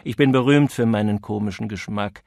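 A man's voice: fairly low pitch, fairly quick, vocal fry, darkish tone, no room sound, voice pushed down. A man voicing a cool, enigmatic man who teases in a dry, condescending way, as an audiobook character. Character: cool, mocking, enigmatic man toying with his listener.

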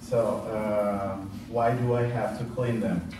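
A young man with a Brazilian accent, giving a chemistry lesson in a classroom with many pauses, fillers and restarts. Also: lowish voice, steady tone. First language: Portuguese. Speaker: student teaching a practice class. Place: classroom with whiteboard. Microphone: far camera at back of room. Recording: echoey room from far off, noisy.

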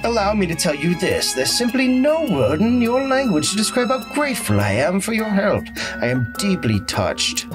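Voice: medium pitch, very animated, moderate pace, few pauses, natural voice. A let's-player putting on a gushing demon. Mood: theatrical. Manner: gushing, theatrical reading of on-screen game text. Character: grateful male bat-winged demon hoping to become human. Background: loud game music.

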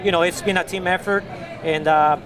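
A man's voice: high-pitched, fairly quick. A middle-aged man, a news anchor, speaking earnestly and from the heart in a backstage interview. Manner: earnest, heartfelt, steady storytelling. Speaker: news anchor, award winner. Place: backstage press area at an awards show. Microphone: handheld mic. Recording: busy crowd noise behind.

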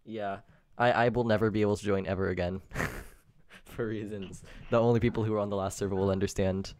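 Streamer host, low monotone voice, understated casual live chat delivery. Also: a little fast. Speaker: streamer and game server host. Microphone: online voice chat.

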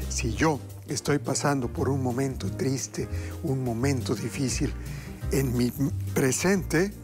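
A middle-aged man with a Mexican accent hosting a TV cooking show, upbeat and talking warmly to viewers as family. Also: mid-range voice. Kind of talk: lively TV hosting and motivational talk. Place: TV kitchen studio set.

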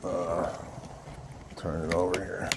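Very deep-voiced man vlogging outdoors in wind. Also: flat delivery, slow pace. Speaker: fireworks channel host. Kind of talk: casual product showcase vlog. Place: outdoors in a windy spot by a pickup truck bed. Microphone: handheld camera setup with an added mic. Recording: windy outdoor noise.

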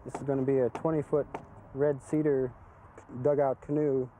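Relaxed man talking outdoors. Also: medium pitch, moderate pace, slight background noise, rough recording. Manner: plain, relaxed, with fillers. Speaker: canoe carver. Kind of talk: casual explanation.

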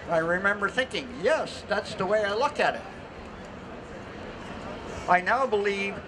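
Older man reading a speech outdoors. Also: high voice. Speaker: festival speaker. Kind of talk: read prepared speech. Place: outdoor city plaza stage. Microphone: mic on stand through PA. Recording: outdoor street noise.

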